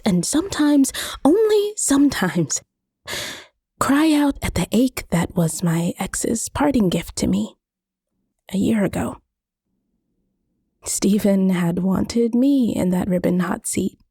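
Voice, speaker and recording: A woman narrating a first-person short story expressively, with measured, deliberate phrasing. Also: mid-range voice, creaky, warm tone, husky, no echo, studio quality.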